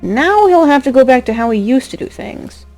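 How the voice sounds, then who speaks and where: A woman narrating a scripted drama as a storyteller, expressive, with a wry touch. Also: high-pitched, normal speed. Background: music.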